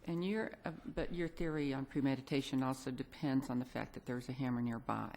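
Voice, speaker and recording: A woman judge with a strikingly deep, low voice presses counsel from the bench. Her questions are probing and pointed. The setting is an appellate courtroom. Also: a little fast, darkish tone.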